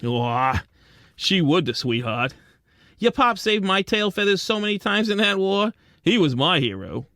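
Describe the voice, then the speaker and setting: A man playing a wisecracking, soft-hearted penguin private detective in a radio drama, narrating and talking in old-time gumshoe slang. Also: sits high, big pitch swings, measured pace, no room sound, studio quality, full character voice. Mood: fond, excited. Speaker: lead actor and narrator.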